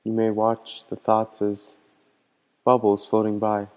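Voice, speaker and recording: Smooth, even-toned man guiding a meditation. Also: fairly low pitch. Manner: calm, gentle, unhurried instructing with pauses. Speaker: meditation teacher. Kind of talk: guided meditation instruction. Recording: long hall-like reverb.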